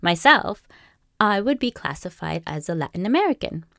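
Calm Peruvian-born woman podcaster, dry sound. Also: lowish voice, normal speed, creaky, dry recording. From Peru. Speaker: podcast host. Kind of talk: scripted solo monologue.

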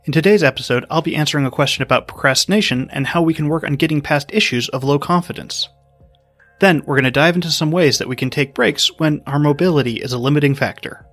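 A man hosting a podcast, giving calm, explanatory answers from a script. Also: on the high side, fast talker, dry recording, faint music behind. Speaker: podcast host.

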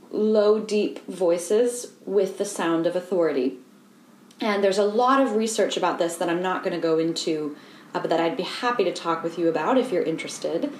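A woman voice coach giving a calm, explanatory lesson and guiding the listener through simple humming exercises. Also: mid-range voice, echoey room, a little hiss or hum. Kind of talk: instructional voice lesson.

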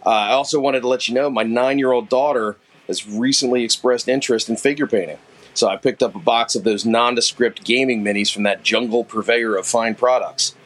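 A man's voice: medium pitch, brisk. A middle-aged man with a Philadelphia accent, leaving a folksy, self-deprecating voice message for a podcast. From Philadelphia area. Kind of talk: recorded voice message.

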